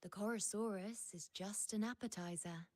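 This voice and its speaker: A woman's voice: medium pitch, moderate pace, a little mellow, close to an everyday voice. A woman voicing a confident, shrewd and playful huntress in a video game, with a teasing, self-assured delivery. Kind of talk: scripted video game voice acting.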